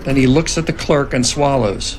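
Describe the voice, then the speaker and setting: A man with a gravelly voice reading scene directions as narrator of a dramatic script reading. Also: moderate pace. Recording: noticeable background rumble.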